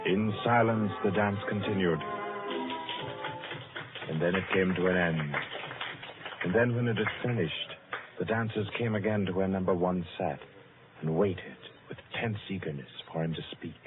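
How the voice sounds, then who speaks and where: A man's voice: sits low, moderate pace, pauses often, close to an everyday voice. A man narrating a suspense tale for an old radio drama in a solemn, scripted storytelling manner. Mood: suspenseful. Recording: muffled, tinny old radio recording. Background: music.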